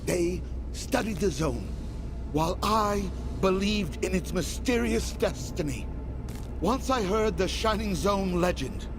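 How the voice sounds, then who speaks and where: A fervent game mystic with a high voice and slow, deliberate delivery. He sounds sure of his faith, with clear music behind him. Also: expressive, full character voice. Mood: fervent conviction. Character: fervent male zone mystic, devoted true believer. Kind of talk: scripted video game cutscene acting.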